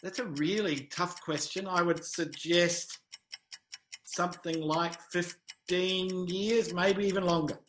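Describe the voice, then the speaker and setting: A New Zealand man in his fifties with a slightly raised pitch and a bright, forceful tone. He answers interview questions briefly and dryly, with good humour and a hesitant filler now and then. Faint noise runs under the recording. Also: fairly even intonation, slow. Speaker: interview guest, travel sales manager.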